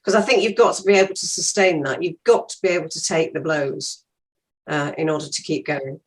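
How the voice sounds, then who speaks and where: A woman's voice: low-pitched, fairly even intonation, brisk. An older woman, an interview guest, talking frankly and emphatically in casual conversation.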